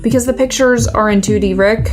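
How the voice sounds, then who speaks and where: A woman plays a sensible radio news colleague at the end of her patience. Her voice sits a little high, and she is blunt and exasperated, with music playing behind. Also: steady tone, a little fast, natural voice. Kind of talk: comedic audio fiction.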